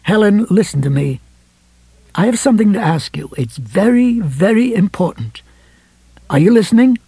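A man voicing an earnest, anxious man in an audiobook, speaking carefully and pressing gently. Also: high voice, lively intonation, moderate pace, gravelly, slightly rough, no room sound, voice pushed up, exaggerated voice. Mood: urgent pleading. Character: earnest, anxious man pleading with a distraught friend.

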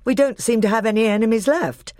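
A reader voicing a confident teenage boy who is high king, speaking with assurance. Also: fast talker, darkish tone, no room sound, clean studio sound, natural voice. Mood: assured.

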